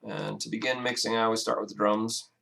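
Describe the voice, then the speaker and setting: Gravelly low-voiced man explaining a mix. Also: little pitch movement, a bit bright. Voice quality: raspy. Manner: halting, many fillers and restarts. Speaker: music producer. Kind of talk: casual screen-recorded mixing walkthrough.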